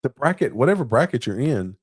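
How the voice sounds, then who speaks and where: A man's voice: mid-range voice, sing-song, brisk, slightly rough. A man hosting a live podcast in an informal, conversational way, bantering casually. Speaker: podcast host.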